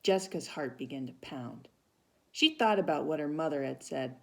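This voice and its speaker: A woman reading a children's story aloud with animated narration and small asides to young listeners. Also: low voice, moderate pace, gravelly. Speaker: volunteer storybook reader.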